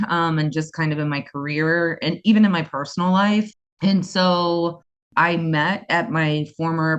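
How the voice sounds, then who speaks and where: Woman, 40s, East Coast accent, lowish pitch, eager rambling interview guest. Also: dull audio. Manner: talkative, enthusiastic, rambling. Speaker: guest, school co-founder. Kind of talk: casual interview conversation.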